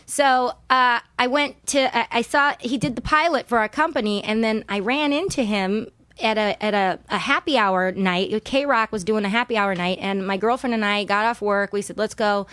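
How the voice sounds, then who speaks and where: A woman's voice: a little high, moderate pace, smooth, no room sound. Middle-aged woman guest, chatty storyteller, close and dry. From Southern California. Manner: warm, laughing often, rambling storytelling. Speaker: podcast guest. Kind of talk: casual interview chat.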